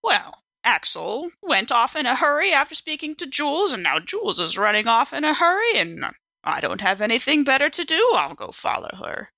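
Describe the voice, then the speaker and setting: Bright-voiced woman, wry game player. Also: high voice, very animated, moderate pace, vocal fry, no room sound. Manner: wry, playful. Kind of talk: casual improvised game chat.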